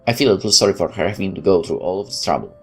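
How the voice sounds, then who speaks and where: A woman's voice: very deep voice, very fast, darkish tone, breathy edge, faint music behind. A woman, a native Polish speaker, reading game narration aloud and commenting casually as a streamer, often stumbling and correcting herself. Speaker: let's play streamer.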